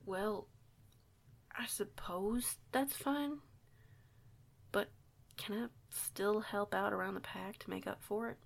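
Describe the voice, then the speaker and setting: A woman's voice: medium pitch, slow pace, gravelly, pauses often. A woman playing a shy young female werewolf newcomer in an audio roleplay, hesitant, folksy and polite. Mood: hesitant, worried.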